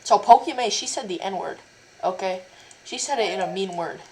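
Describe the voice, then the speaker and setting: A woman's voice: mid-range voice, moderate pace, a little mellow, rough recording. A woman interviewing a stranger on a casual video chat, rambling and stumbling with many likes and restarts. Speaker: interviewer, cosplayer.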